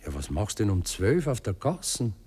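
An actor playing a sentimental, muddled Viennese gentleman in a radio play, speaking haltingly and musing as he goes. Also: on the low side, very animated, breathy edge. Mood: awkward, curious. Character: sentimental, muddled gentleman client, wistful and awkward. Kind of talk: naturalistic German radio play acting.

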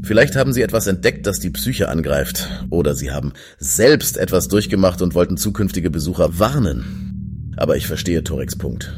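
An actor playing a keen, hopeful space explorer who leads a crew in a science fiction audio drama. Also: male voice, low voice, lively intonation, moderate pace, a little mellow, slightly rough, full character voice. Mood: earnest, hopeful. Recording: music behind the voice.

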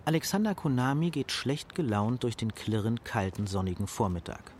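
An actor as a detached, observant storyteller, reading scripted narration for a radio drama. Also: male voice, medium pitch, lively intonation, normal speed, darkish tone, a little hiss or hum, natural voice.

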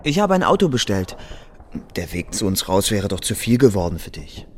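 An actor playing an anxious, placating young newlywed husband in a radio play, eager, excitable and hesitant. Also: male voice, fairly low pitch, moderate pace, soft background music. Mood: anxious, pleading.

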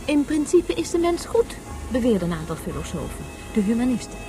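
A woman's voice: a little high, very animated, fairly quick, music in the background. An actress playing a patient woman tutor in a radio play, explaining things didactically as if to a child. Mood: instructive. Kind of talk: Dutch radio play acting.

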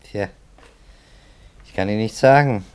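An actor playing a skeptical, businesslike detective. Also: male voice, lowish voice, measured pace, clear, resonant tone, natural voice. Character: skeptical, matter-of-fact male police detective. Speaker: police investigator. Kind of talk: 1950s radio drama acting.

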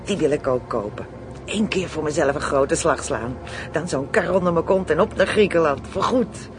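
A man acting in a radio play as a brash, greedy criminal, slangy, boastful and excitable. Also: normal speed, close to an everyday voice. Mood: eager, brash. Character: brash, greedy, playful Amsterdam criminal plotting kidnappings. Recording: radio play with clear vehicle rumble.

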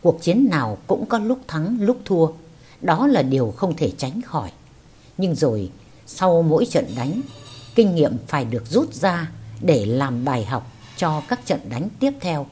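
A woman with a husky voice reading a war memoir as scripted audiobook narration. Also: deep voice, sing-song, measured pace, dark tone.

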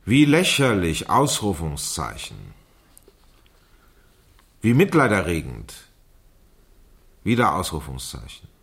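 A man's voice: on the low side, breathy edge, exaggerated voice. An actor playing a man who reads a teenage girl's diary aloud in a theatrical, mocking way in a radio crime play. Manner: theatrical, dictates punctuation marks aloud.

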